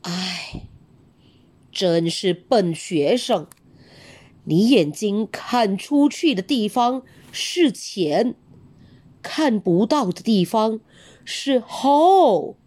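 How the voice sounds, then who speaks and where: A woman voicing a grumpy male bear teacher, speaking low, drawn out and exasperated. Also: animated, extremely slow, dark tone.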